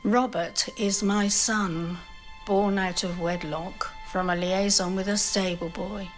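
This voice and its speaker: A woman playing a genteel, motherly older mistress of a manor in an audio drama, speaking in a measured way. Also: medium pitch, steady tone, soft background music, close to an everyday voice. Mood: calm, confessing.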